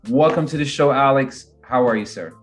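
A man's voice: mid-range voice, moderate pace. Male podcast host with music behind him. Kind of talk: upbeat podcast interview talk.

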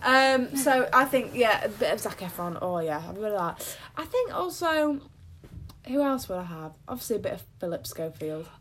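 A woman's voice: mid-range voice, very animated, moderate pace, fairly bright tone, slight background noise. A young woman from Derbyshire with a local accent, co-hosting a casual chat podcast and often trailing off mid-sentence. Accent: Derbyshire, near Sheffield. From England, Derbyshire. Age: young adult. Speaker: podcast co-host.

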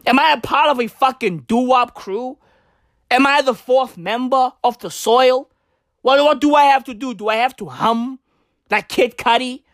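A woman's voice: high-pitched, no echo, studio quality. A woman from southern Africa, a native Tswana speaker, doing a rambling, profane comic rant full of slang. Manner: profane, rambling, animated slang-heavy delivery. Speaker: comedian. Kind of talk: stand-up style comedic rant.

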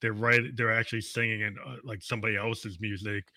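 A man's voice: lowish voice, flat delivery, brisk, bright, forceful tone, roomy sound. A man in his fifties hosting an interview, rambling casually with many restarts. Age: 50s. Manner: rambling, restarts often, laughs easily. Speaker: radio show host.

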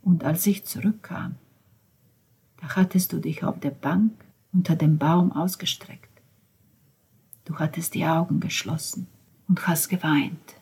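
A woman reading as a grieving, bitter young man, a drummer, in an intense first-person monologue that veers between hushed whispers and outbursts. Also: fairly low pitch, breathy edge. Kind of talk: dramatic audiobook reading.

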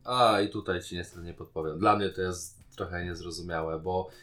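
A man's voice: low voice, fairly even intonation, moderate pace, fairly bright tone, soft background music. A man co-hosting a podcast in casual chat, musing and colloquial as he talks. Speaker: podcast co-host. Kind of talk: casual chat, film and series discussion.

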